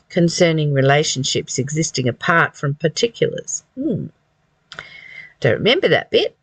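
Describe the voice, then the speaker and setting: Slow, unhurried spiritual reader, close dry sound. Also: mid-range voice, no echo. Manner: reflective, halting, repeating phrases. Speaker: reader and commentator of a spiritual study. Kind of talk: slow reading aloud with informal spiritual commentary.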